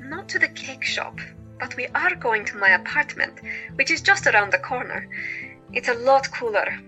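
A woman's voice: sits high, animated, normal speed, bright, forceful tone, faint music behind, poor audio. An actress playing a joyous, caring woman doctor in a period audio drama, cheerful and coaxing. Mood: friendly, reassuring.

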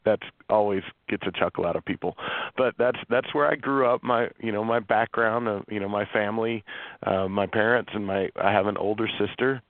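A middle-aged man from central Illinois, a guest on a radio talk show, speaking in a relaxed, friendly way with fillers. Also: on the low side, fairly quick, no echo. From central Illinois, USA. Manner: relaxed, friendly, laughs easily, uses fillers. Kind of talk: casual radio interview. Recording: dry phone-line sound.

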